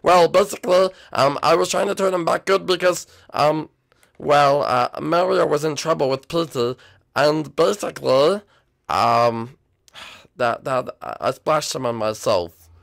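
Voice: medium pitch, unhurried, vocal fry, dry recording, clean studio sound. A performer voicing a young male prince who pleads and explains, hesitant and full of fillers, in a homemade toy show. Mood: sheepish. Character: young male turtle-dragon prince, the villain's son.

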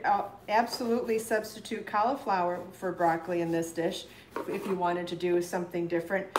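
A woman's voice: fairly low pitch, background noise. Woman, middle-aged, live cooking host, chatty and explanatory, home kitchen, echoey room, chopping sounds. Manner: chatty, explains as she chops, answers viewer questions. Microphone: fixed camera across the kitchen counter. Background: knife chopping on a cutting board.

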